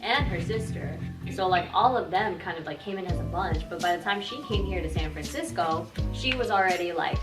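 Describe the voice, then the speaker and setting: A woman's voice: lowish voice, fairly quick, few pauses. A young woman telling family stories casually over dinner, easygoing with many fillers. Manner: relaxed storytelling with fillers. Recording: roomy, distant mic, loud music under.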